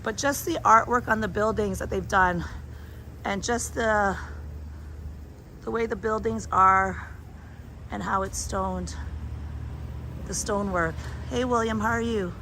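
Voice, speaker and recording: A woman with a raspy, hoarse voice from a sore throat, chatting as she live-streams a walk outdoors at night, holding a phone. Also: medium pitch, fairly even intonation, measured pace, pauses often, bright, forceful tone, low-quality recording. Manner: chatty, rambling, greets viewers. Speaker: travel vlogger. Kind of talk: live-stream walking vlog. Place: outdoors on a town street at night. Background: street and traffic noise.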